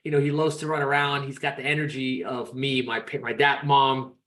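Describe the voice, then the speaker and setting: A man's voice: medium pitch, little pitch movement, a little fast, fairly bright tone. A man from Peru, in his thirties, chatting as a podcast guest in an upbeat, rambling way with many restarts. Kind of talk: casual interview chat.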